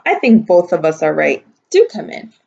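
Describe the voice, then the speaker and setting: A woman acts out a friendly grandmotherly lady, the new neighbor in a storybook. The voice is a little husky and the recording slightly dull. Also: lowish voice, very animated, normal speed, darkish tone, exaggerated voice.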